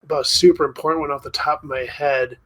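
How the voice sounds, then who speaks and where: Male podcast co-host, 30s, fairly high-pitched voice, loose conversational delivery. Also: steady tone, quick pace, a bit bright, low-quality recording. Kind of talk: casual chat.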